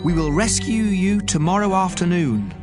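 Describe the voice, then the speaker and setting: Fierce soldier, loud declaiming, high pitched, bit of music under it, bible drama. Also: male voice, sing-song, normal speed. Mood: resolute. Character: bold, fierce Israelite soldier. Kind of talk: audio Bible drama acting.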